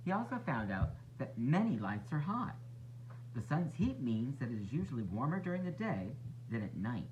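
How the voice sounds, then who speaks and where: A man narrating a children's storybook as a teacher would, expressive and instructive for young listeners. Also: medium pitch, moderate pace, slight background noise, rough recording. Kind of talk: children's read-aloud narration.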